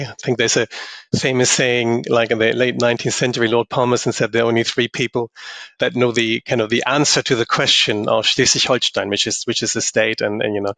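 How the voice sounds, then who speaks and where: A middle-aged man from northern Germany speaks with a German accent, his voice carrying a gravelly edge. He talks in a thoughtful, halting way, often restarting his sentences. The sound is dry and close to the mic. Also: mid-range voice, a bit bright, no room sound. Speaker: guest, investor. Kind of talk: podcast interview.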